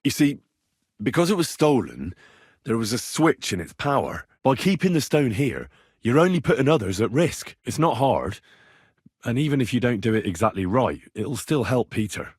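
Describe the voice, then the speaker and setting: A man's voice: medium pitch, very animated, normal speed, a little mellow, slightly rough, no room sound, studio quality. An actor playing an earnest, stubborn young medieval man in a video game, speaking in a direct, persuasive way. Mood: earnest persuading. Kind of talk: acted video game dialogue lines.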